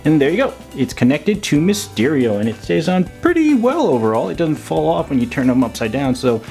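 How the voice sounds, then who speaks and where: A man's voice: on the high side, sing-song, fairly quick, creaky, a little mellow. Guy doing a goofy casual action figure review, voice bounces up and down a lot, music behind him. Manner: playful, silly made-up words and sound effects. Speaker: toy reviewer and video host.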